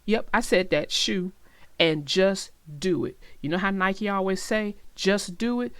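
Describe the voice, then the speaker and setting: A woman narrating for young children in an expressive, reassuring way, as if reading aloud and talking directly to them. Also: mid-range voice, a little slow, clear, resonant tone, dry recording. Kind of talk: read-aloud storytelling and advice to young listeners.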